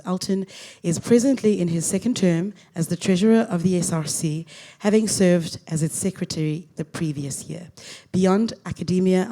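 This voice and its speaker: Woman panel moderator, low mellow voice, formal scripted introductions.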